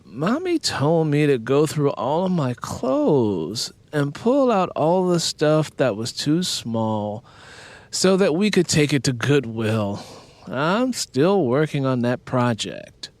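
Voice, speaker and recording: Man voicing a pleading, high-pitched teenage girl. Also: very animated, slow pace, no room sound. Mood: sleepy, defensive. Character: teenage girl, sleepy, pleading, sweetly wheedling daughter.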